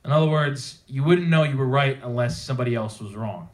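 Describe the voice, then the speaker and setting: A man's voice: mid-range voice, brisk. A man presenting a technical talk to a live audience, speaking informally and emphatically with rhetorical questions. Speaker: conference speaker. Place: theater at a developer meetup.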